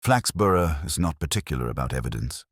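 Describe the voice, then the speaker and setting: A man voicing a calm, shrewd police inspector in a mild, deliberate murmur for a mystery story. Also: very deep voice, dry recording, clean studio sound.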